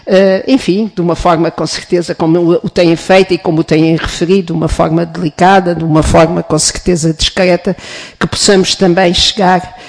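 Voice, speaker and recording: A woman with a European Portuguese accent, speaking as a member of parliament in a formal committee hearing, with many pauses, fillers and restarts. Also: lowish voice, little pitch movement, a little mellow.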